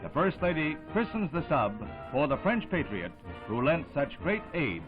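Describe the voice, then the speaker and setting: A man delivers scripted 1960s newsreel narration in a formal, declamatory voice pitched on the high side. The old soundtrack is thin and phone-like, with music playing prominently behind him. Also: very animated, moderate pace.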